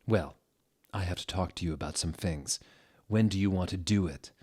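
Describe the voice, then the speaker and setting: A man's voice: deep voice, normal speed, mellow, husky. A man voicing a young, cool, foul-mouthed gothic female teacher in a comic story reading. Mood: breezy.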